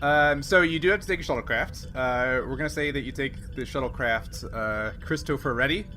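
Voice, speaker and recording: A man's voice: mid-range voice, moderate pace, sharp tone. A man acting as game master, talking casually and jokingly with players as he guides a tabletop game. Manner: jokey, laughs easily. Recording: noticeable background noise.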